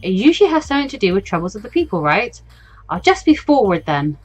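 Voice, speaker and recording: Woman as teenage girl, anxious, introspective, hesitant musing, visual novel narration, medium pitch, soft background music. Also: very animated, quick pace, poor audio. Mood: hesitant, curious. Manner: hesitant, musing inner monologue. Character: anxious, introspective fourteen-year-old girl, a student mediator. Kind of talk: visual novel read-aloud.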